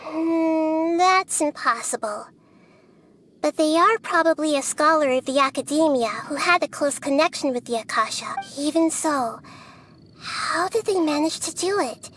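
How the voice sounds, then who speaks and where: A woman voicing a gentle, wise young goddess who reasons calmly and softly. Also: sits high, unhurried, a little hiss or hum. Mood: pondering. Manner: calm, reasoning, soft-spoken.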